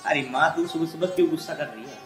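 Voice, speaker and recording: A man's voice: fairly high pitch, fast talker, a bit bright, faint music behind, close to an everyday voice. Man playing an insistent young husband. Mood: coaxing. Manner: insistent, coaxing. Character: young husband caught between wife and mother. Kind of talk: family drama skit.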